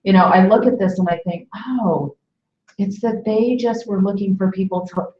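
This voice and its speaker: A woman's voice: medium pitch, moderate pace, slight background noise. A woman in her early forties chatting casually and reflectively as a webinar host, feeling her way through phrases and checking for agreement. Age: early 40s. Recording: distant, echoey, muffled webinar audio.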